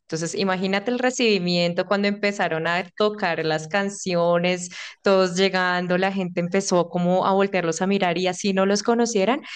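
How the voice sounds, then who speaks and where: A woman's voice: on the low side, normal speed, smooth. A woman, a hotel marketing manager, enthusiastically recounting a visit over a video call. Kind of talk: casual video call chat. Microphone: webcam on video call.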